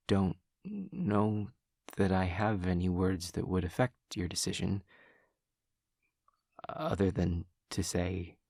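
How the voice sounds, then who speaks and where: A man playing an awkward, low-voiced, earnest demon classmate. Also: gravelly, a little mellow, husky, no room sound, clean studio sound, full character voice. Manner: formal, precise, over-explaining, hesitant pauses. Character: awkward, earnest, literal-minded male demon classmate and friend. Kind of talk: intimate audio roleplay acting.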